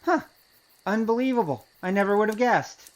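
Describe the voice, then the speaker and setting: A woman's voice: medium pitch, expressive, moderate pace, natural voice. A woman acting in an audio drama as a wry, streetwise investigator with a cocky, teasing, casual manner. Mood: surprised, curious.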